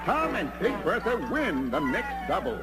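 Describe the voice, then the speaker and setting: Very high-pitched cartoon sports announcer. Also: male voice, wildly swooping pitch, normal speed. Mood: announcing. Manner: theatrical, exclamatory announcing. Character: excitable male cartoon tennis match announcer. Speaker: sports commentator. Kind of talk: play-by-play tennis commentary in a cartoon. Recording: music under the voice. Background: loud background music.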